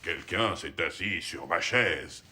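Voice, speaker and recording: A man voicing a big, gruff father bear from a storybook in a deep, slow voice. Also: lively intonation, sharp tone, a little hiss or hum, full character voice.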